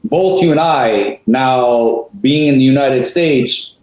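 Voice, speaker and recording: Chatty male co-host, mid-pitch voice, lots of fillers and rhetorical asides, in a very echoey room. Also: slow pace, a little mellow. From Canada. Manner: talkative, many fillers, rhetorical questions. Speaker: co-host, real estate advisor. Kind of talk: conversational interview.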